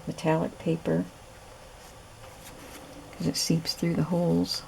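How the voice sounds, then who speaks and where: A woman hosting a crafting video, hoarse and raspy from lack of sleep, chatting casually as she works. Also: low-pitched, slow, slight background noise, poor audio. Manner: relaxed, chatty, thinking aloud while working. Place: home craft room.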